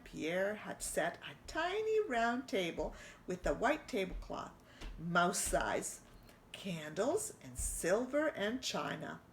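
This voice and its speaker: A middle-aged woman reading a picture book aloud to children, expressive and pointing out the pictures. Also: medium pitch, slight background noise, low-quality recording. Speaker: children's storytime reader.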